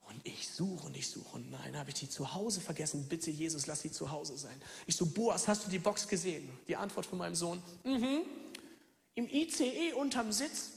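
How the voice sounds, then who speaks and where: A pastor in his thirties from Germany preaching a lively sermon, moving from loud calls to hushed near-whispers and speaking straight to his listeners. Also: fairly high pitch, moderate pace, creaky, long reverb tail. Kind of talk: lively sermon with personal stories.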